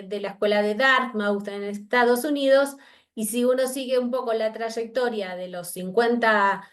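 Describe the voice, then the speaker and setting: A woman from Argentina with an Argentine Spanish accent, giving an academic lecture by video conference and talking thoughtfully with fillers and restarts. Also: on the high side. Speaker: university researcher giving a talk.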